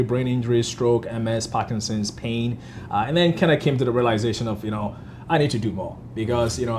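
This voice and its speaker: A middle-aged man from Ghana, a physician, talking earnestly in a casual interview and often agreeing with a quick "yes, yes". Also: mid-range voice, darkish tone, a little hiss or hum. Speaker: physician guest.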